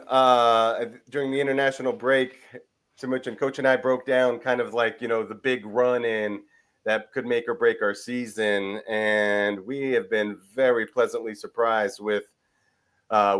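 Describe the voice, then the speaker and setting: A man's voice: mid-range voice, a bit bright. A man hosting a sports podcast in a casual, conversational style. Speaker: podcast host.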